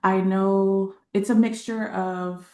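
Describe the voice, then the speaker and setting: A woman from Texas, a community organizer speaking on a webinar panel in a halting way, with many fillers and pauses. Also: mid-range voice, little pitch movement, dark tone, roomy sound. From San Antonio, Texas.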